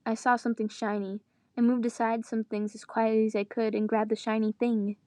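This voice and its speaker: A woman's voice: fairly high pitch, little pitch movement, brisk, dark tone, no echo. A woman reading a story aloud dramatically, with joking asides in her own voice. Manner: breaks character to groan and joke. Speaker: reader of fan fiction.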